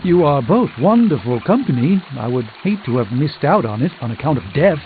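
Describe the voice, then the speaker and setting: A wry, courtly sorcerer, thin sound. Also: male voice, on the high side, very animated, brisk, a little hiss or hum. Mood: warm, sincere. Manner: eloquent, theatrical, dry wit. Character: urbane, proud middle-aged male sorcerer, wry and courtly. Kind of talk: scripted video game dialogue. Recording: thin phone-like band, loud music behind.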